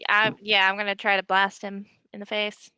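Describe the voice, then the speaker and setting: A woman's voice: medium pitch, completely flat delivery, normal speed, bright, forceful tone, smooth, no room sound, rough recording. A woman playing a tabletop game, giggling and giving cheery short answers. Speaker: player. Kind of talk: casual play at the table.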